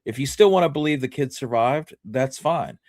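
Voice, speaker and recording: A man co-hosting a casual history discussion, explaining his points in a measured, deliberate way. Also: medium pitch, lively intonation, a little mellow, no echo, studio quality. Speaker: podcast co-host.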